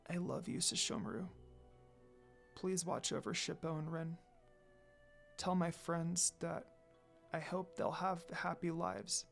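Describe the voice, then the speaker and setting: A man voicing a gentle, loving, wounded young woman in an anime fan story, soft, breathy and weak. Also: fairly high pitch, steady tone, slow, pauses often, soft background music. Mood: dying, tender.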